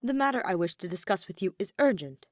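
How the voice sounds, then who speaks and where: A woman's voice: mid-range voice, sing-song, fast, no echo, clean studio sound, close to an everyday voice. A woman voicing an earnest, nervous young nobleman who speaks formally and insistently in a fan fiction audiobook. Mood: urgent. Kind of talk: audiobook-style fan fiction character reading.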